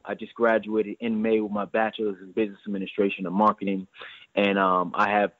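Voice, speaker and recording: A young man in his twenties speaks fast and earnestly, often stumbling into repeated words. His voice comes through a narrow, tinny phone line with no room sound at all. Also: lowish voice, level tone, no room sound. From New York. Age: 20s. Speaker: guest entrepreneur. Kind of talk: phone interview.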